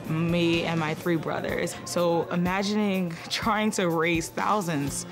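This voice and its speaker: A woman's voice: lowish voice, fairly even intonation, slow, music in the background. A young adult woman student speaking sincerely about her family in an interview. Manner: sincere, personal. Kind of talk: interview in a documentary video.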